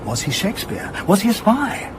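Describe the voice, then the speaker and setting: A man's voice: high-pitched, normal speed, noisy background. An actor playing a male academic curator in a radio drama, explaining his field with pedantic, self-amused eagerness. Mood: wry, keen. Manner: explanatory, donnish, animated. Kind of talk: naturalistic radio drama acting.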